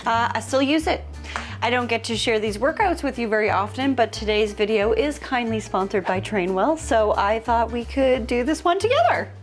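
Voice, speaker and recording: A woman hosting a DIY vlog, talking in an upbeat, expressive, casual and chatty way. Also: on the high side, normal speed, soft background music. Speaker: host of a DIY home makeover vlog.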